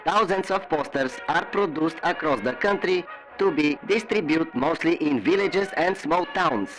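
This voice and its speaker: An actor playing the herald of a totalitarian party, making formal, official propaganda announcements in an audio drama. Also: male voice, on the high side, unhurried, a bit bright, faint music behind, rough recording. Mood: official, declarative.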